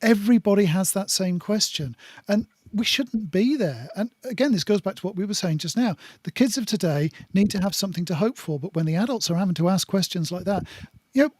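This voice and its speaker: Older man on a livestream panel, high voice, dry close sound, measured and deliberate. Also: lively intonation, a little mellow, husky, dry recording. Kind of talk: casual discussion.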